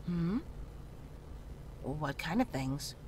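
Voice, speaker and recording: A woman playing a calm, frank town healer in a horror visual novel, speaking in a measured, reassuring way. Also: low voice, heavily acted. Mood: clinical, attentive. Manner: measured, matter-of-fact, reassuring. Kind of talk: visual novel character dialogue. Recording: clearly audible background noise, ambience.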